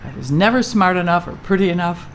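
A woman's voice: on the low side, lively intonation, fast talker, a little hiss or hum. An actress playing a lonely, sluggish older woman who grumbles and wisecracks at her own expense in a stage play. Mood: downcast, wry. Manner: wry, grumbling, self-mocking. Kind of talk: scripted two-person stage comedy-drama.

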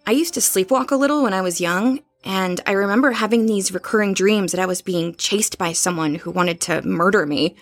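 A woman's voice: mid-range voice, a little fast, hardly any pauses, no room sound, faint music behind, lightly acted. A woman playing a curious, cautious young woman, the sensible friend, in an audio horror drama. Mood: candid. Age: young adult.

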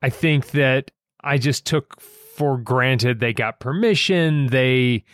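A man hosting a podcast, talking reflectively about fiction in a thoughtful, halting way with pauses mid-sentence. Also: mid-range voice, a bit bright, no room sound, clean studio sound. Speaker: podcast host and fiction writer. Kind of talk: reflective conversation about a story.

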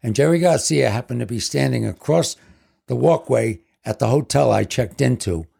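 A man's voice: mid-range voice, animated, normal speed, gravelly, a little mellow, husky. A man in his seventies telling rambling stories about his past as a guest in casual chat. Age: 70s. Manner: rambling, leans on "basically". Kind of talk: casual storytelling.

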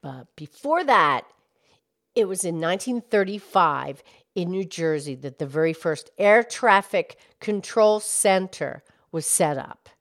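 Measured woman explaining on a podcast. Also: fairly low pitch, expressive. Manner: measured, matter-of-fact. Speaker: podcast co-host.

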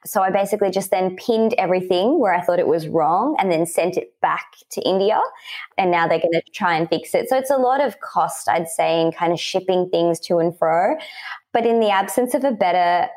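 A woman's voice: lowish voice, brisk, darkish tone. A young woman with an Australian accent, chatting as a podcast guest and telling her story in an upbeat way, laughing often. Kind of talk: casual podcast interview.

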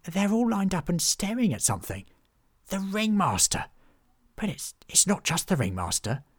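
A man voicing a nervous male werewolf in a storybook reading, with a hushed, uneasy manner. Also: sits high, huge pitch swings, moderate pace, breathy edge, voice pushed up, full character voice.

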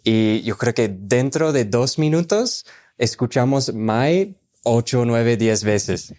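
A man's voice: mid-range voice, lively intonation, slow pace, darkish tone. A man hosting a casual conversation, upbeat and encouraging, asking about words and reacting briefly.

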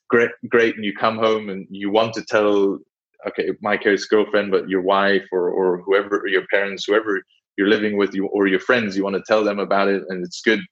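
A man with a Swiss-British accent and a low voice, talking as a podcast guest. He speaks thoughtfully, with plenty of fillers and hedges. His voice comes through a dull, muffled remote line. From half Swiss, half British. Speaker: guest, engineering director. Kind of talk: reflective interview talk.

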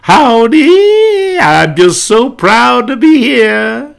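Excitable old-time radio comedy character, performed by a man: raised pitch, exaggerated exclaiming, swooping intonation. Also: very slow, vocal fry, full character voice. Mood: excited exclaiming. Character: excitable, high-voiced radio comedy characters shouting catchphrases.

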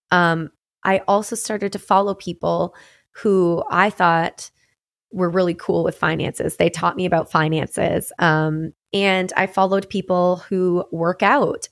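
A woman's voice: on the low side, no room sound, clean studio sound. A young adult woman hosting a podcast, talking candidly and reflectively in a conversational way, with frequent fillers. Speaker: podcast host and singer. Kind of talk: candid personal podcast monologue.

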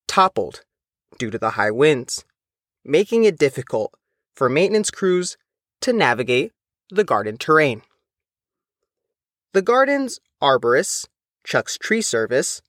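A man reading a scripted news feature as the reporter, in a measured, careful way. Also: fairly high pitch, a bit bright, no room sound, clean studio sound. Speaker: reporter and narrator.

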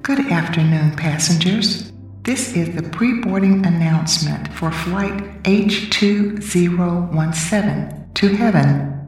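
A formal female airport gate announcer calls passengers to board, as a woman puts her on. The voice sits low and measured. Soft music plays faintly underneath. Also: heavy reverb, recorded from a distance. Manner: formal public-address announcing.

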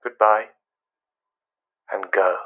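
An actor playing a wistful, controlling, quietly menacing man in a radio play, speaking in measured, fragmented phrases. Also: fairly low pitch, steady tone, phone-quality sound. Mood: wistful, detached. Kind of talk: radio play monologue and dialogue.

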